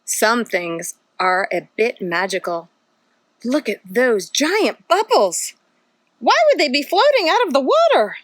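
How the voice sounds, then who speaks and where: A woman's voice: a little high, sing-song, measured pace, no echo. A woman reading aloud as a curious, dreamy sea dragon from a picture book, wondering and asking questions. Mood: curious, amazed.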